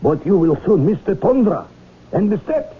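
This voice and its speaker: Husky, high-voiced Russian agent. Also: male voice, sing-song, normal speed, full character voice. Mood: menacing, mocking. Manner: stilted, broken English. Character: menacing, pompous Russian male agent with broken English. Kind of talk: 1970s radio drama acting. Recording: muffled, phone-like 1970s radio recording.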